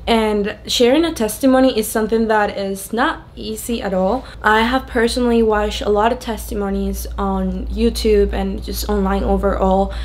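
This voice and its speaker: A young woman with a Venezuelan accent speaks as a native Spanish speaker would. She shares a personal testimony to camera in a candid, conversational way, at an average pitch. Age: young adult. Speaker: video creator sharing a testimony.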